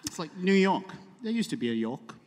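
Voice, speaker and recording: A man's voice: high-pitched, very animated, fairly quick, a little mellow. A man from Sri Lanka with English as a second language, joking wryly as a guest on a live comedy podcast. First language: not English (English second language). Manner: wry joking. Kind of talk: casual live comic banter. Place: live show on stage. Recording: live stage recording, clear background noise.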